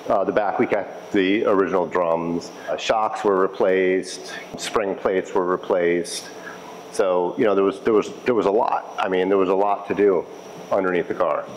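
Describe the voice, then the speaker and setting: A man speaking as a restoration technician in a casual interview, explaining in a halting, conversational way and repeating phrases. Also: deep voice, low-quality recording. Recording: clear steady background noise.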